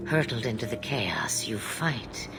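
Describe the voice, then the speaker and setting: A woman playing an ancient, amused, imperious witch in a fantasy game, husky, theatrical and drawling. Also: rumbling low, sing-song, unhurried, heavy background noise, full character voice. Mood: cryptic musing. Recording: loud music under the voice.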